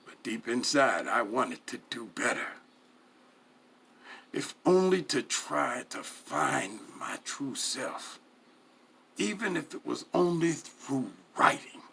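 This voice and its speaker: A man giving a dramatic first-person narration, reading in a theatrical, deliberate way with pauses. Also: medium pitch, big pitch swings, gravelly, pushed and bright, slight background noise. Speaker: story reader.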